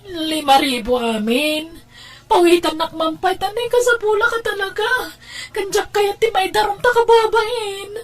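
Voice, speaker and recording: A very high-voiced Ilocano woman played by an actress, a hard-up older sister in a radio drama. She argues emotionally and stands her ground despite her debts. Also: normal speed. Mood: pleading. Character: hard-up, combative older sister deep in debt.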